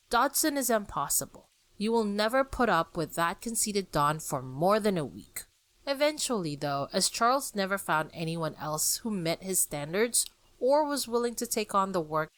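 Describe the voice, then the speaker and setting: A woman hosting a podcast, reading a scripted narration on art history in a lively, explanatory way. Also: on the low side, no echo. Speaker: podcast host.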